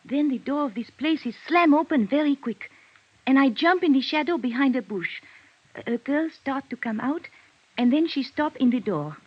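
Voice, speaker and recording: High-voiced, timid French witness. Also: female voice, lively intonation, normal speed. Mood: anxious, recounting. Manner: hesitant, apologetic, broken English. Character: timid, frightened young Frenchwoman, a reluctant witness. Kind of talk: 1950s radio detective drama acting. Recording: dull vintage radio recording.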